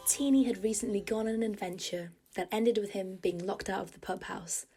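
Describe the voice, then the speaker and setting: A woman's voice: mid-range voice, a little fast. A woman reading scripted narration for a story, as its narrator. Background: music.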